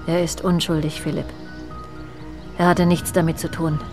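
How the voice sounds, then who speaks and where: Low-voiced, guilt-ridden woman confessing. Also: flat delivery, over music. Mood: pleading, bitter. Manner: pleading, halting, tearful under questioning. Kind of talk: German radio drama acting.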